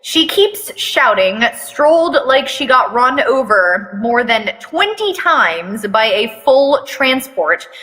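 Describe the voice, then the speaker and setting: Female reader, higher-pitched and bright, theatrical with blunt casual asides, reverberant room. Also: very animated, takes it slowly, hardly any pauses. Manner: theatrical reading broken by blunt, sweary commentary. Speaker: fan fiction reader and commentator. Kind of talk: mock-dramatic reading with casual asides.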